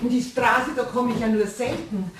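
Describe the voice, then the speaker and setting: A woman's voice: on the high side, normal speed, noisy background, close to an everyday voice. An actress playing a polite, anxious lady in a children's radio play. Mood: polite, hopeful. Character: polite, anxious lady searching for her lost pet.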